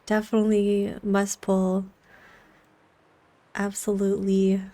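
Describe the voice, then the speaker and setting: A woman's voice: mid-range voice, completely flat delivery, slow pace, mellow, dry recording. A woman streamer reacting casually and gushingly to a game live, with exclamations.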